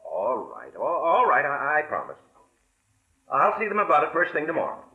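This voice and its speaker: A man's voice: on the high side, wildly swooping pitch, moderate pace. An actor playing a pressured, stammering man on a telephone in a 1940s radio drama. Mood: flustered. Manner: agitated, stammering repetitions. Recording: thin, phone-like vintage radio sound.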